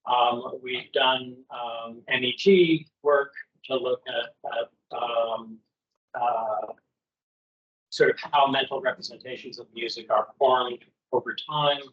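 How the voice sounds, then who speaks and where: A male professor answering audience questions in a relaxed academic discussion, hesitant and full of fillers. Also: medium pitch, slow. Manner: hesitant, searching, many fillers. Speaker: presenting professor. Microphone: video call. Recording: dull lo-fi video call audio.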